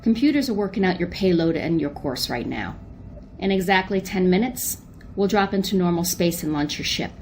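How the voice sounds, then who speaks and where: A woman playing a brisk, businesslike spaceship commander in an old-time style radio drama, clipped and authoritative. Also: on the low side, expressive, a little mellow, slight background noise, close to an everyday voice.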